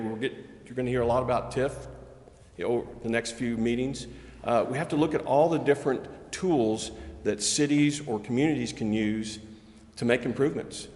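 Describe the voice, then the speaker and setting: A man explaining things step by step in measured remarks as a local development official at a public meeting. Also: mid-range voice. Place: city council chamber. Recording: echoey reverberant meeting chamber.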